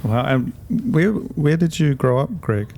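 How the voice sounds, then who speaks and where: A man's voice: fairly high pitch, lively intonation, a little slow, gravelly, a little mellow. A man hosting a casual late-night interview, asking curious follow-up questions. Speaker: podcast host.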